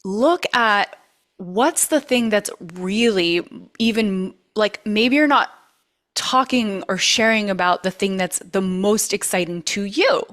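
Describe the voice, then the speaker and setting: A woman's voice: medium pitch, animated, slow pace, a bit bright. Earnest, vocally trained woman coaching. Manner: earnest, emphatic, repeats key words. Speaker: masterclass host. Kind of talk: casual coaching talk.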